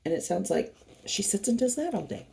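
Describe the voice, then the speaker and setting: A middle-aged woman with a hoarse voice from a cold, chatting casually and rambling as she unboxes craft kits for her channel. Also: mid-range voice, sing-song, a little fast, background noise. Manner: rambling, chatty, many fillers and restarts.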